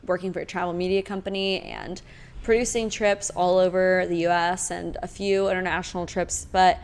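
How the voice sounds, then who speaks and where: A young woman from Nebraska chats as a podcast guest. She rambles easily and fills her sentences with likes and I-don't-knows. Her pitch runs slightly low. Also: little pitch movement, moderate pace, clean tone. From Omaha, Nebraska, United States. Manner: chatty, rambling, filler-heavy. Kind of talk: casual chat.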